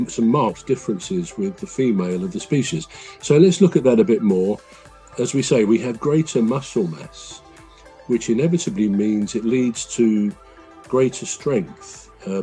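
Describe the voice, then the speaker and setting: A man co-hosting a podcast, chatting casually in a rambling, self-correcting, gently humorous way. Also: lowish voice, poor audio. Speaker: podcast co-host. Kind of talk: casual chat.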